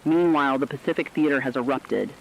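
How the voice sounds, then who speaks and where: A man's voice: mid-range voice, fast, gravelly, a little hiss or hum, lightly acted. A man playing a solemn radio correspondent, delivering a scripted emergency war report in a formal, dramatic way. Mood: somber, urgent. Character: somber male radio correspondent reporting global catastrophe. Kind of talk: scripted emergency news broadcast. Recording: dull lo-fi radio sound with rain.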